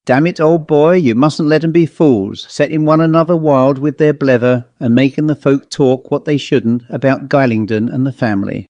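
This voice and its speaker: A man voicing a gruff, troubled country squire with a rough, blustering way of speaking. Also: medium pitch, a little mellow, no echo, close to an everyday voice. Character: gruff, guilt-ridden male country squire.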